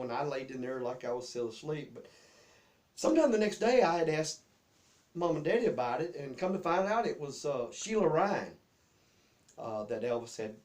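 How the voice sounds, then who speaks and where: A man's voice: a little high, darkish tone. A middle-aged man with a Southern US accent casually tells personal stories in a rambling, folksy way, often repeating his words. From Memphis, Tennessee area. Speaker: host telling childhood memories.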